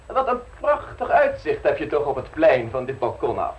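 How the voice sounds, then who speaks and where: Cheeky, lively-voiced bossy secretary. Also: male voice, a little high, moderate pace, breathy edge, highs cut off, full character voice. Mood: coolly bossy. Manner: teasing, coolly insistent. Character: cheeky, domineering, unflappable male private secretary. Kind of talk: radio play acting. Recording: noisy old radio play recording.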